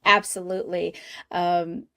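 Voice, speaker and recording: A woman's voice: lowish voice, level tone, a little slow, pushed and bright, smooth. A woman hosting a podcast interview over a video call, enthusiastic and giggly, with lots of fillers. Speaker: podcast host. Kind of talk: casual interview.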